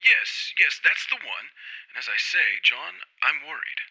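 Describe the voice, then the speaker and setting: Radio play role: anxious worrier of an insurance man, played by a man, bright forceful voice, jumpy pitch, hesitant phrasing. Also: big pitch swings, measured pace, slightly rough, full character voice, poor audio. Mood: fretful, worried. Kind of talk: 1950s-style radio drama acting.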